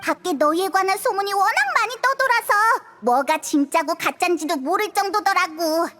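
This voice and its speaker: A woman voicing a small, curious, excitable fairy girl with a squeaky childlike voice in a video game. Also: normal speed. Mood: cheerful. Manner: exclamatory, openly expressive. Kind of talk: Korean video game voice acting. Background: loud game music.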